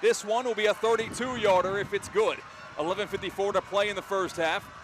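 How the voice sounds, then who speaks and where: An American man doing live play-by-play radio commentary of high school football, excitable and ready to shout the big calls. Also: brisk, noisy background. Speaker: play-by-play announcer.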